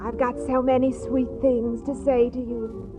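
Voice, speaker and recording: High-pitched, gushing flirt, played by a woman. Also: little pitch movement, measured pace, close to an everyday voice. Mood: coy, infatuated. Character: flirtatious, gushing, man-hungry society woman. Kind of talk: 1940s radio comedy acting. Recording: muffled old radio broadcast, music behind.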